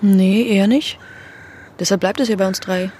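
A woman acting a young female activist with a medium-pitched voice. She is casual and level-headed in natural drama dialogue, over faint background noise. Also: fairly even intonation, normal speed, a little mellow, close to an everyday voice. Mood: firm, composed. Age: young adult.

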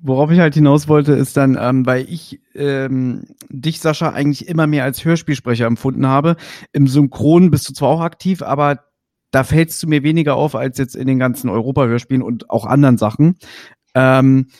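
A man's voice: mid-range voice, fairly even intonation, normal speed, a little mellow, no room sound. A man hosts a podcast interview, his voice dry and close with no room sound around it. He talks in a conversational way and hesitates a little as he works his way into his questions. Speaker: podcast host. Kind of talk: casual interview chat.

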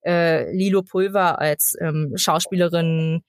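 A woman of about thirty talks casually as an interview guest. Her pitch sits a little low for a woman and the sound is very dry. She gropes for words and is quick to giggle. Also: steady tone, no room sound. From eastern Germany (Lower Lusatia). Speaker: guest, actress and voice actor.

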